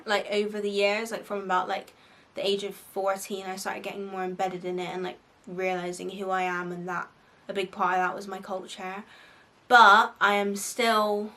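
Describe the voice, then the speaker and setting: A woman's voice: medium pitch, sharp tone. Chatty young Bristolian woman. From Bristol, England. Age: young adult. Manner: chatty, frank, lots of "like" fillers, frequent laughs. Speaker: faith podcast host. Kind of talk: casual advice chat.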